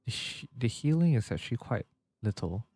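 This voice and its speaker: A man's voice: mid-range voice, sing-song, moderate pace, mellow, slightly rough, no room sound. A man giving casual gameplay commentary, stumbling a little as he reads game text aloud, with music behind him. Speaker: livestreamer.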